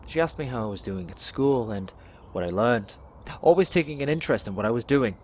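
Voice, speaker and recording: A young man performs a dramatic spoken word monologue in a measured, deliberate storytelling way, pausing between phrases. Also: mid-range voice, lively intonation, a little mellow, a little hiss or hum. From England. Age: young adult.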